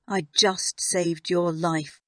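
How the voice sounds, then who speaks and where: A man's voice: high-pitched, level tone, takes it slowly, bright, forceful tone, no echo, voice pushed up, full character voice. A man voicing a watchful, grave old woman in a horror story, explaining things in a serious manner. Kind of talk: horror story character dialogue.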